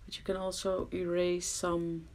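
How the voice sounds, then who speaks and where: A woman's voice: lowish voice, monotone, unhurried, darkish tone. A woman with a Dutch accent giving a casual, hesitant crafting tutorial, often dropping to a murmur. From Netherlands. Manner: hesitant, self-deprecating, drops to murmurs. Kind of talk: casual screen-recorded tutorial. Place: at someone else's home.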